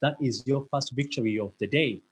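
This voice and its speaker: A man with a husky, slightly breathy voice delivers an earnest motivational talk. He stammers and repeats words here and there but pushes on with emphatic conviction. His pitch sits in an ordinary middle range. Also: brisk. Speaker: motivational speaker.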